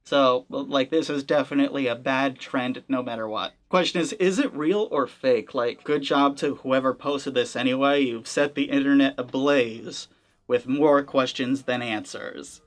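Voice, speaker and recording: A man's voice: mid-range voice, unhurried. A young man hosting a gaming channel, talking to camera in a casual, skeptical way, with wry asides. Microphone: camera-facing setup.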